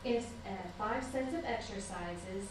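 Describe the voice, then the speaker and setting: A woman's voice: mid-range voice, unhurried, noisy background. Chatty woman in an echoey council chamber. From southern Indiana. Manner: earnest, chatty, with small laughs and fillers. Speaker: public commenter. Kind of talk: public comment at a council meeting. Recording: echoey room picked up from far off.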